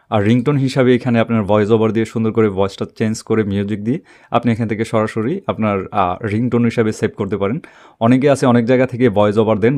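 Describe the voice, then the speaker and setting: A man giving an unscripted, step-by-step app tutorial in a halting, repetitive way. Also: on the low side, darkish tone. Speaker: tech tutorial host.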